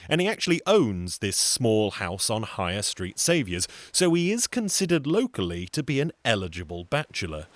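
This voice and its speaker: A man's voice: fairly low pitch, fairly bright tone. A man telling a comic folk tale in a theatrical, conversational way, with wry, sardonic asides. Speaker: storyteller, solo show performer.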